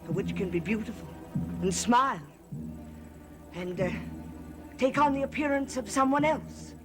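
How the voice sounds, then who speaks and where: An actress playing an anxious, devoted female servant in a gothic soap opera, speaking gravely and formally. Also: on the high side, animated, moderate pace, natural voice. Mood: grave warning. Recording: dramatic music behind the voice.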